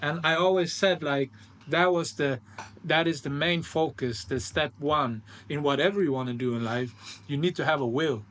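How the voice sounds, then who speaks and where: A man with a fairly high voice hosts a casual podcast chat. He talks earnestly, circling back to repeat his phrases. There is some noise behind him. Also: moderate pace, a bit bright. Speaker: podcast host.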